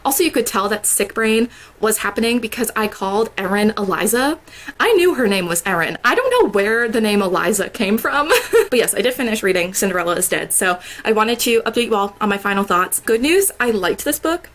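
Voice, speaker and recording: A woman's voice: mid-range voice, animated, brisk, a bit bright. A young woman talking casually about a book she finished, filling her talk with "like" and laughing often; she sounds a little congested. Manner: chatty, lots of "like" and "I don't know", laughs often. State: congested after a sore throat. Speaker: book vlogger. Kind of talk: casual book review vlog.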